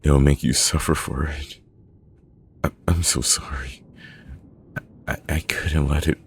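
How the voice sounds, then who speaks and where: A man's voice: extremely low pitch, steady tone, takes it slowly, creaky, a little hiss or hum, full character voice. A man playing a frantic, broken trapped man in a horror audio log, crying and stammering as he pleads. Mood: sobbing, pleading. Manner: stammering, pleading, repeating words. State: crying, panicking.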